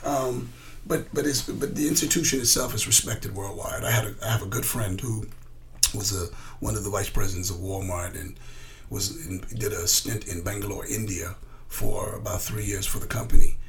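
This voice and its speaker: Gravelly man talking casually, some noise. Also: on the low side, fairly even intonation, moderate pace. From the Bahamas. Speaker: college coach being interviewed. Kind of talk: casual conversational storytelling.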